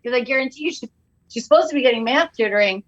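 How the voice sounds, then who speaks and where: A middle-aged woman, a comedian guest, bantering on a podcast in an animated, jokey, exaggerated way. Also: a little high, racing, muffled recording.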